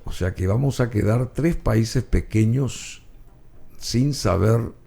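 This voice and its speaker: A man's voice: mid-range voice, takes it slowly, gravelly, dark tone. A man hosting an interview, asking casual questions and giving short affirmations. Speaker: interview host.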